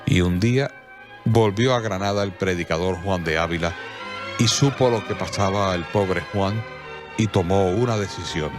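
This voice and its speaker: A man narrating a radio drama in a measured, solemn storytelling manner. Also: low voice, music in the background.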